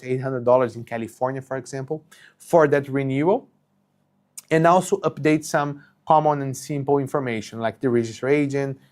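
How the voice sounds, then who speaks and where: Portuguese-native guy in his 30s doing a live q&a, talky, lots of uh and okay?. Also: medium pitch. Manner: conversational, many fillers and restarts, checks in with "okay?". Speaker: company co-founder answering live questions. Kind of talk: live Q&A explaining business rules. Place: home studio with shelves and green lighting.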